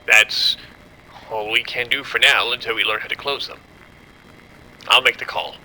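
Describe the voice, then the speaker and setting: An actor playing a cold, commanding doctor in a horror audio drama, speaking gravely and deliberately as he manages a cover-up. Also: medium pitch, steady tone, bright, forceful tone, a little hiss or hum, rough recording. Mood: grim resolve. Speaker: lead scientist.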